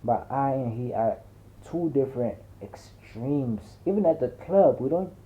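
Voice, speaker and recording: A man's voice: mid-range voice, expressive, a little slow, slight background noise. A man narrating an audiobook in a dramatic, conversational first-person style with slangy, emphatic delivery. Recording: muffled narrow phone-like audio.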